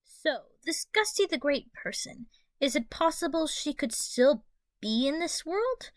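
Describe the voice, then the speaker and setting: A woman's voice: high voice, sing-song, a little slow, no room sound, pitched above the performer's own voice. A woman voicing a cheerful, straightforward male anime fighter with a casual manner in a fan audio play.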